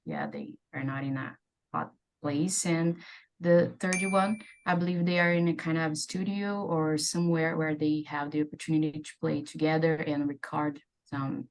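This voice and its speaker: Low-voiced woman candidate. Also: rough recording. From Brazil. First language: Portuguese. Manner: careful, measured answers. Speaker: exam candidate.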